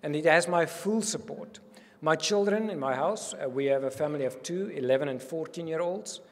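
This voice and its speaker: An older man from South Africa with an Afrikaans-accented voice, giving an earnest, animated welcome speech as a university provost at a podium microphone. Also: fairly high pitch, a little fast. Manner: earnest and animated, gesturing widely. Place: reception hall with curtains. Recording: long hall reverb.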